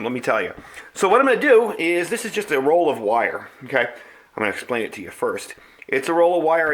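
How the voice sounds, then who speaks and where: A man's voice: mid-range voice, a bit bright. A middle-aged man with an American accent giving a casual, conversational product review, explaining things as he demonstrates them.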